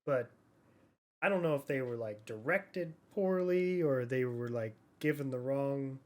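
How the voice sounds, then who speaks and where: A man's voice: medium pitch, animated, unhurried, a little mellow. A man co-hosting a podcast, talking casually about movies in an informal, rambling way. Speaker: podcast host.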